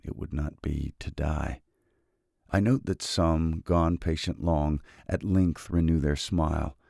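A man hosting a literature podcast who reads classic poetry slowly and softly in a relaxed, unhurried way. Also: dry recording, clean studio sound. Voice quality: very deep, gravelly voice. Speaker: podcast host and reader. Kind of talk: slow, measured poetry reading.

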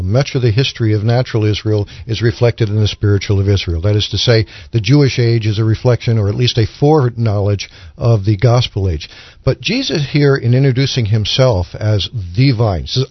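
A man on a discussion panel explaining religious ideas in a deliberate, teacherly way. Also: mid-range voice, no echo. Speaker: panelist on a Bible discussion show. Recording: dull, lo-fi audio.

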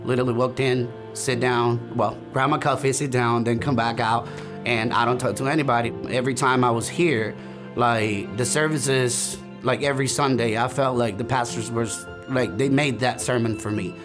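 A man's voice: mid-range voice, steady tone. Earnest young man from El Salvador. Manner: earnest, halting, many fillers. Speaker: church member giving testimony. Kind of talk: personal testimony, recorded interview. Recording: music bed under voice.

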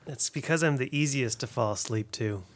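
A man co-hosting a podcast in soft, soothing tones. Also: medium pitch, a little fast, no echo. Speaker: podcast co-host. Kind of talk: casual podcast chat.

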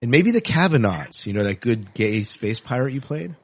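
A man's voice: medium pitch, big pitch swings, moderate pace, breathy edge. A man hosting a podcast in a casual, conversational interview style. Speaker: podcast host.

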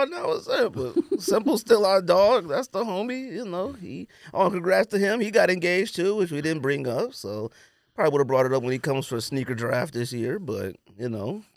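A man's voice: high-pitched, gravelly, no echo. An American man hosting a podcast, chatting casually and jokingly in slangy speech about sports and sneakers. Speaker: podcast host.

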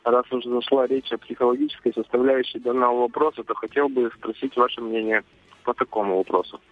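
A man's voice: medium pitch, fairly even intonation, fast, no room sound. A man calling in to a radio show, speaking formally and earnestly. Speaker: caller. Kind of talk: call-in radio. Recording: dry phone line.

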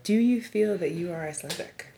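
New woman hosting a chat, lowish voice, lots of encouraging yeahs and laughs. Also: a little fast, gravelly, a little hiss or hum. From New York, USA. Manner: warm, affirming, laughs often. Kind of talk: casual interview chat.

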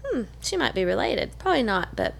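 A woman's voice: fairly high pitch, big pitch swings, brisk, vocal fry, fairly bright tone. A woman co-hosting a casual podcast, chatty and teasing. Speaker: podcast co-host.

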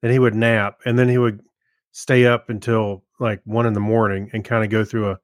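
A man's voice: lowish voice, flat delivery, normal speed, dry recording, clean studio sound. Hesitant, rambling male podcast co-host. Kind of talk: casual chat.

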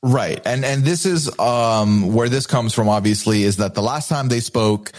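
A man's voice: medium pitch, moderate pace, a little mellow. A man from Dubai co-hosting a casual podcast, recapping a reality show with emphatic, opinionated remarks. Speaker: podcast co-host.

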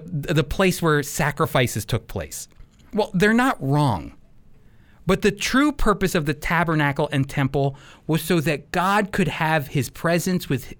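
Male radio Bible teacher, slightly high-pitched, preaching emphatically and straight to the listener. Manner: emphatic, addresses listeners directly, repeats phrases for weight.

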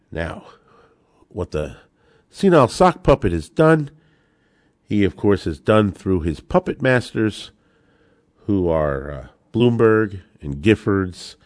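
A man with a low voice that swings widely as he speaks. He delivers opinionated political commentary as a podcast host, emphatic and sarcastic, hammering on repeated words. The sound is dry and close, with no room around it. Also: a little mellow, no echo.

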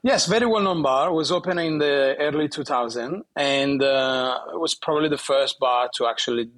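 A man from southern Italy speaking English with an Italian accent as an interview guest, talking in a halting way with many restarts and small laughs. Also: a little high, fairly bright tone. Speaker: guest bartender. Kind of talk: casual interview chat.

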